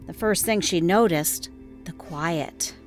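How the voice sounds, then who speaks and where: A woman's voice: lowish voice, slow pace. A woman with an American accent reads scripted narration as a news reporter. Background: music.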